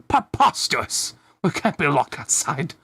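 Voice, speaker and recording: A man's voice: on the high side, sing-song, a little slow, gravelly, pushed and bright, slightly rough, no room sound, heavily acted. A man voicing a pompous, easily outraged child vegetable from a cartoon, exclaiming indignantly.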